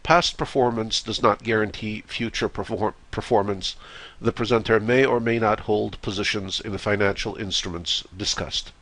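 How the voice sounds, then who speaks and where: Hoarse, congested man lecturing slowly. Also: fairly low pitch, fairly even intonation, a bit bright. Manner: measured, with frequent "uh" fillers. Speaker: trading course instructor. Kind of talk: recorded slideshow lecture.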